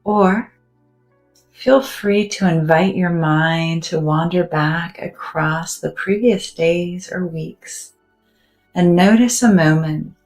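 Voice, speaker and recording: Low, slow, soothing woman guiding meditation. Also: gravelly. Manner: soft, gentle and soothing, with drawn-out pauses. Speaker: meditation guide. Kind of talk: guided meditation.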